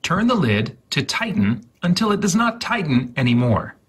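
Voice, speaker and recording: A man giving a deliberate, dramatic reading of step-by-step instructions with exaggerated enunciation, in a husky, breathy voice. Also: fairly high pitch, very animated, fairly bright tone. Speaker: host.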